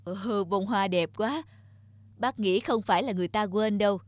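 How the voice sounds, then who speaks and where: A woman's voice: mid-range voice, normal speed, no room sound, natural voice. A woman voicing a nosy, kindly middle-aged neighbor in a cartoon, chatty and familiar. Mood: curious, teasing. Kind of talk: cartoon voice acting.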